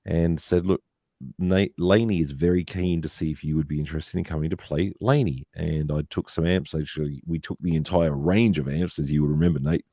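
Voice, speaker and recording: Low-voiced mellow male stream host. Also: no room sound, clean studio sound. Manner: chatty, says "mate" and "uh". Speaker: livestream host. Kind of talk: hosting a live stream.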